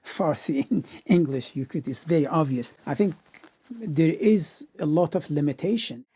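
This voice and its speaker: A man with a Kurdish accent speaks in a husky, slightly breathy voice with a warm, mellow tone. He tells his story in a direct, plain way as a guest in an interview. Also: fairly high pitch, moderate pace. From Iran. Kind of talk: personal interview account.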